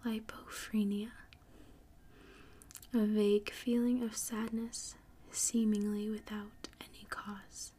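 A woman podcast host whispering softly in an ASMR style, breathy and unhurried, reading out and repeating words. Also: medium pitch, flat delivery. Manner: soft, unhurried, repeats and spells words slowly.

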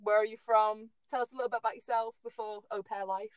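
A woman's voice: mid-range voice, steady tone, quick pace, clean tone, no echo. A young woman co-hosting a podcast, upbeat and bubbly as she asks the guest questions. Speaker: podcast co-host. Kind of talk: casual chat.

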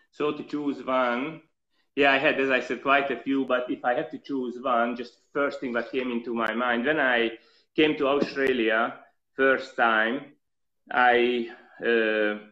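A man with a slight European accent recounts his life story as an interview guest. He talks earnestly at an even middle pitch and hesitates on fillers often. The audio is dull and lo-fi. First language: not English. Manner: earnest, reflective, halting with fillers.

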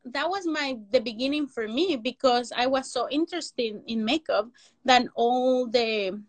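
Mexican lady, spanish accent, rambly chatty guest, kinda high voice, dry sound. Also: unhurried, no echo. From Mexico City, Mexico. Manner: rambling, frequent "like" fillers, non-native grammar, laughs often. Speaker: guest, makeup and prosthetic artist. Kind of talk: casual interview.

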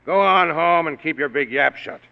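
An actor playing a gruff, sarcastic male police inspector in a 1940s radio drama. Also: on the high side, normal speed. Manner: sarcastic, blunt. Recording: dull old radio broadcast in a long-reverb hall.

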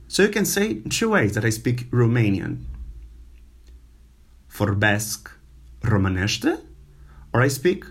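Non-native male language tutor, mid pitch, slow and hesitant, repeats words for learners. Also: breathy edge. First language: not English. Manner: slow, halting, many fillers and repetitions. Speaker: language teacher. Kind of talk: informal language lesson.

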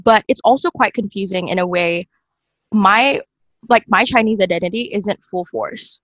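A woman's voice: medium pitch, normal speed, fairly bright tone, no room sound, low-quality recording. A young adult woman from Indonesia hosting a casual panel discussion. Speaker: podcast host.

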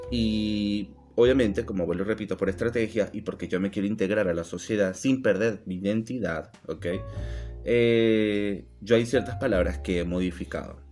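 A man with a Venezuelan accent, touched by an Argentine lilt, co-hosting a casual podcast and telling stories that act out quoted dialogue. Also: lowish voice. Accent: Venezuelan Spanish, partly Argentine-tinged. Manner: lively storyteller, acts out quoted dialogue. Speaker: podcast co-host.

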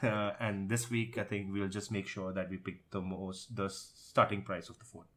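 A man's voice: sits low, flat delivery, moderate pace. A man with an Indian accent hosting a casual tech podcast in an informal, sweary way. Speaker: podcast host.

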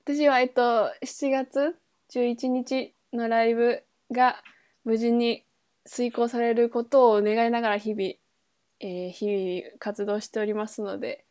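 A woman's voice: a little high, little pitch movement, measured pace, darkish tone, dry recording. A woman co-hosting a podcast in casual, colloquial conversation. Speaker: podcast co-host, performing musician. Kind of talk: casual chat.

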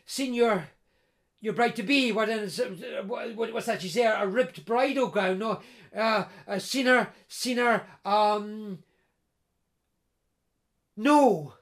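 A woman's voice: fairly high pitch, takes it slowly, long pauses. A woman voicing a timid poor young man who stammers and hesitates. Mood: nervous.

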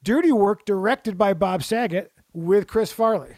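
Jokey, rambling man with a higher voice. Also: a little slow, a little mellow, dry recording. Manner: rambling, jokey, restarts often. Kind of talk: casual chat.

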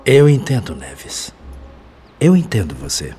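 An actor playing a compassionate, grave spirit mentor in a radio drama, husky and measured in his explanations. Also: on the low side, wildly swooping pitch, warm tone, soft background music.

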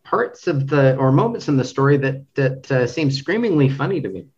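A man speaking as an interview guest, a translator discussing a book casually, in a halting way, rephrasing as he goes. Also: mid-range voice, expressive, a little mellow, breathy edge, dull audio.

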